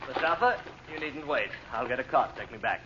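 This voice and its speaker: An actor playing a suave, flattering foreign correspondent in an old-time radio drama. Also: male voice, medium pitch, fairly quick, close to an everyday voice. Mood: affable. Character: Gordon Douglas, smooth flirtatious foreign correspondent, secretly villain's agent. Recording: dull, noisy old radio recording.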